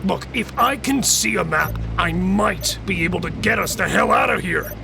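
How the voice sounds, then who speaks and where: An actor playing an angry, blunt ex-delivery driver stuck on a prison island, in an audio drama. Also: male voice, high voice, normal speed, creaky, over music. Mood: demanding. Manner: blunt, confrontational. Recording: noisy background.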